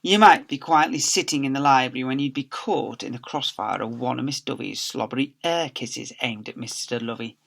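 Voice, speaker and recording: A man's voice: medium pitch, a little fast, vocal fry, hardly any pauses, a bit bright. A man, a teacher, reading a storybook aloud to young children with playful, theatrical emphasis.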